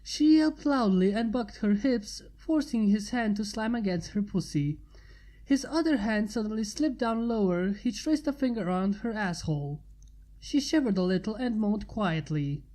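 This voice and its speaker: A woman's voice: mid-range voice, animated, normal speed, mellow, rough recording. A woman reading fan fiction aloud as a narrator.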